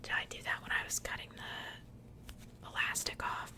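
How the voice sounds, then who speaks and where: A woman speaking in a soft, breathy whisper, chatting casually and unhurriedly in the style of an ASMR unboxing. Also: unhurried. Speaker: ASMR video creator.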